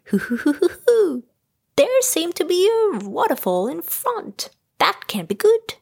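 A woman's voice: very high voice, sing-song, unhurried, creaky, darkish tone, voice pushed up, exaggerated voice. A woman voicing a bouncy, adventurous male tiger in a storybook, exuberant and whooping. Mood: alarmed.

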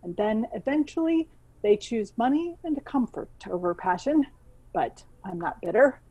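A woman playing a proud, stubborn boss of a failing radio station in a scripted comedy, with brisk, dryly theatrical delivery. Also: on the high side, lively intonation, warm tone, breathy edge, lo-fi sound. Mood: forced optimism.

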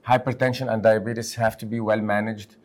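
Low-voiced man from Lebanon hosting a podcast. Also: flat delivery, brisk. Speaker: podcast host, physician and section head. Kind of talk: podcast interview, conversational.

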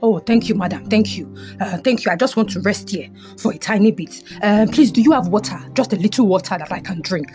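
A weary but bossy military commander with a Nigerian accent , as a woman acts him. He repeats his words for emphasis in an expressive audio Bible play, with music behind. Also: medium pitch, brisk, few pauses, close to an everyday voice. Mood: weary, grateful. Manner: repeats orders. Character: weary, bossy male enemy army commander Sisera.

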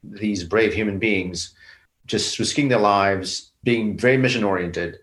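A man from Bangladesh speaks as an interview guest, his voice sitting on the low side. He talks thoughtfully, breaking ideas into steps and now and then repeating a word as he thinks. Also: unhurried, fairly bright tone, low-quality recording. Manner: reflective, explains in steps, repeats words. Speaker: company founder, guest. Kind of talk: interview conversation.

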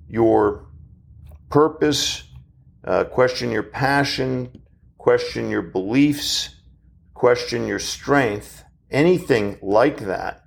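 Man in his fifties, gravelly, unhurried; earnest reflective speaker with pauses. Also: mid-range voice. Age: 50s. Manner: slow, measured and earnest, with pauses. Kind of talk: reflective motivational monologue.

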